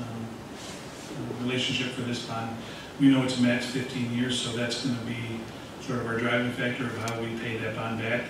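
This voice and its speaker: Man, official presentation, halting and wordy, flat intonation, distant mic. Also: medium pitch. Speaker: town official presenting a project to a board. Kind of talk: public meeting presentation. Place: municipal board meeting room, indoors. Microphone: distant camera microphone. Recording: echoey meeting room, distant mic, clear noise.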